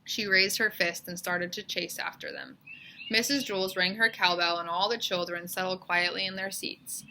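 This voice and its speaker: Bright-voiced woman reading a storybook. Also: lowish voice, very animated, moderate pace, a little hiss or hum, low-quality recording. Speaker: teacher reading a children's book aloud. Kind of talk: expressive storybook read-aloud narration.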